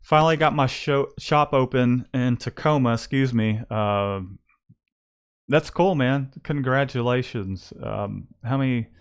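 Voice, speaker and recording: A man's voice: mid-range voice, unhurried, clear, resonant tone. Rambling man hosting a shop livestream. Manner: rambling, with many restarts and fillers. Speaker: host and screen-print shop owner. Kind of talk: casual livestream chat.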